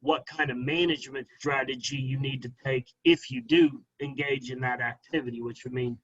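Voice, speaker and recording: A man's voice: medium pitch, steady tone, moderate pace, fairly bright tone, slightly rough, highs cut off. A man, a guest arborist, answering questions at a club meeting in a firm, explanatory way, often checking in with "okay?".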